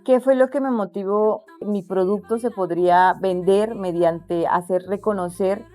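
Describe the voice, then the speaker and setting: A woman's voice: medium pitch, a little slow, warm tone, soft background music. A young Wayuu woman from La Guajira, Colombia, giving an interview about her craft business. She speaks earnestly, with frequent restarts. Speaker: interviewee, artisan entrepreneur. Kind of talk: casual interview.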